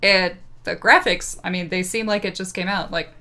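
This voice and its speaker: A woman's voice: lowish voice, fairly even intonation, normal speed. A woman hosting a casual let's play, joking and playfully sarcastic as she comments on the game. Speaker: gaming channel host. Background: loud game music and audio.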